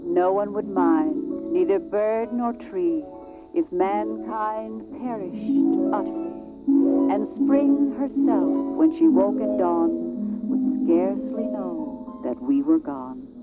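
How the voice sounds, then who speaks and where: An actress playing a mournful machine voice that recites verse, drawn out and solemn, in a 1950s radio drama. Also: female voice, medium pitch, slow pace, lightly acted. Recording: tinny old radio recording, loud music.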